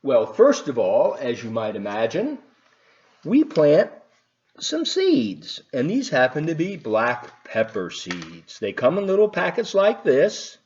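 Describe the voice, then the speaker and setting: A man talking to children as a volunteer storybook reader, explaining things in an animated, unhurried, folksy way. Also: fairly high pitch. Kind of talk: talking to children and reading a picture book aloud. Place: at home, partly in a living room. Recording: roomy, slightly muffled home video.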